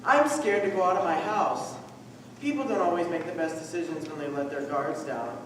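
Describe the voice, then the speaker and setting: A man's voice: on the high side, normal speed, fairly bright tone, a little hiss or hum, natural voice. A man playing a young man in a school one-act drama. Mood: worried. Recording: echoey hall from far off.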